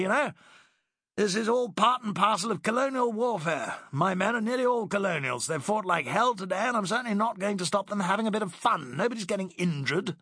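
A man's voice: sits high, gravelly, pushed and bright, breathy edge, no echo, studio quality, voice pushed up, exaggerated voice. Man doing a breezy, condescending colonel. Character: dismissive middle-aged British colonel.

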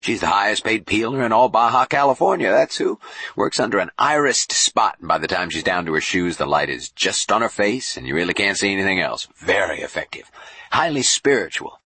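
A man voicing a brash, jovial bishop in an audiobook, a folksy, booming hard-sell showman. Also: animated, fairly quick, sharp tone, husky, no echo, exaggerated voice. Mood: jovial, boastful. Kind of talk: audiobook character voice.

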